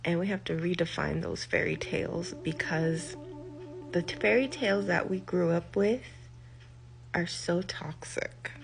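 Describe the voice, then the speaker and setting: An older woman, a healer, speaking in a slow, deliberate monologue, stressing her points by repeating them and letting phrases trail off. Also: fairly low pitch, vocal fry, poor audio. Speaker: healer giving a talk. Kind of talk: reflective spoken monologue. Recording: music behind the voice.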